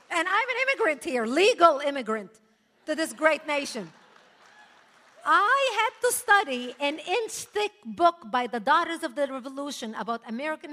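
Woman, non-native English speaker, rally speech; high and piercing, emphatic delivery, echoey hall. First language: not English. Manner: forceful, emphatic, punctuated with laughs. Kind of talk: impassioned public speech. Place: large hall before a live audience. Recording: echoey hall, long reverb.

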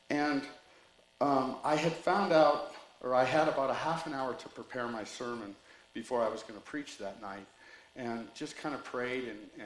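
A man's voice: medium pitch, reverberant, poor audio. A man preaching a sermon with rambling asides and jokes, reading scripture and telling a story. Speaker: pastor.

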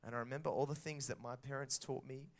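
A man preaching a sermon to children and parents, telling a story in a lively way and acting out the dialogue. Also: mid-range voice, quick pace.

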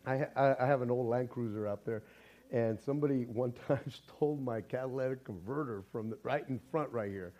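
A man with a hoarse, raspy voice, preaching a casual sermon with jokes and a rambling, self-interrupting way of talking. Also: mid-range voice, a little fast, darkish tone. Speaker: pastor. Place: church sanctuary.

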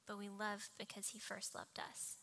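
A woman's voice: mid-range voice, monotone, moderate pace, gravelly, bright, forceful tone. A young woman preaching a sermon with personal anecdotes, in a small, cartoonish voice. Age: young adult. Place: live talk before a congregation.

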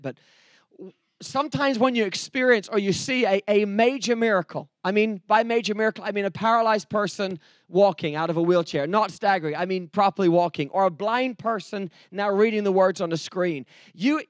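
A male preacher with an English accent gives an earnest, testimony-style sermon. His voice sits high for a man and sounds dry, with very little room around it. He tells stories, repeats key phrases and drops in joking asides. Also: no echo. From England, later Texas. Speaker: preacher, evangelist. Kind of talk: sermon with personal testimony and humour.

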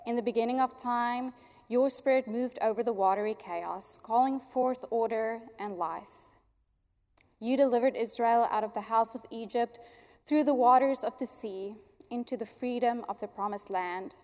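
A young adult woman pastor from Africa, an Afrikaans speaker, leading a baptism prayer in an earnest, warm way. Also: on the high side, normal speed. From South Africa. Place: church sanctuary. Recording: long church hall reverb.

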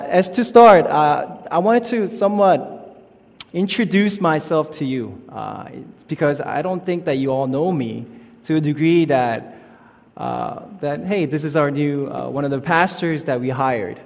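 A man in his thirties, from South Korea, preaching as a youth pastor in a halting, informal, friendly way. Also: mid-range voice, creaky, darkish tone. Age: 30s. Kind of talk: sermon. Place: church sanctuary. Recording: long church reverb.

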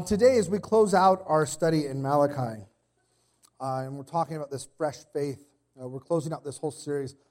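A man in his thirties preaching a casual sermon while sick with a cold, his voice deeper than usual, with a slight cough and many fillers and restarts. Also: darkish tone. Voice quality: extra deep voice today. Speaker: pastor. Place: church.

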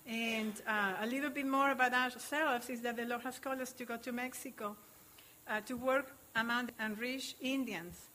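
A woman's voice: a little high, fairly even intonation, normal speed, sharp tone, poor audio. Earnest woman missionary from Chile. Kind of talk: church testimony.

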